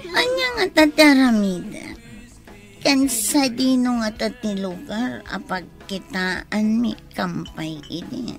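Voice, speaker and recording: An actress with an Ilocano Filipino accent playing a lively, scheming young woman in a radio comedy drama, with animated, exaggerated delivery. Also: on the high side, slow pace, vocal fry, faint music behind, heavily acted. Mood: playful coaxing.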